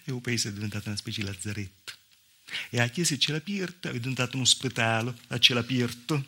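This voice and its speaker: A man's voice: mid-range voice, fast, sharp tone, slightly rough, full character voice. A man with a southern Italian accent playing a wry, plaintive village woman telling her story in a conversational theatrical monologue. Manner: conversational, punctuated with rhetorical "eh". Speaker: stage actor. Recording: live theatre recording with noise.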